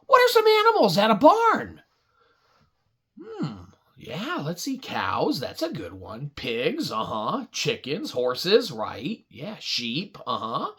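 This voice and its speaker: Wildly animated woman telling children's stories. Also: deep voice, slow, husky. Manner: animated, exclamatory, exaggerated reactions. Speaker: puppet show host and storyteller. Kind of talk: interactive children's storytelling, asking an imagined audience for ideas.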